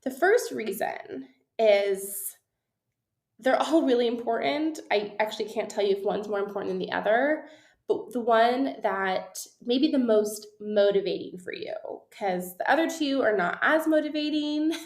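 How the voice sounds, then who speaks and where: Podcast host, woman, thirties, friendly chatty delivery with ums, bit nasal from a cold, higher voice, echoey room. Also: lively intonation, normal speed. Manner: chatty, upbeat, frequent ums and little laughs. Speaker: podcast host and business coach. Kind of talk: casual solo podcast talk. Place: her new home office, echoey room.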